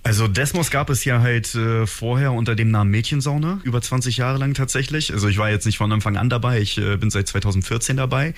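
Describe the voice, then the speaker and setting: A man's voice: mid-range voice, quick pace, few pauses. A man born in Kazakhstan, a rapper in a band, answering interview questions in a rambling way with many fillers. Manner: rambling, heavy use of fillers like halt and äh. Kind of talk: casual interview chat.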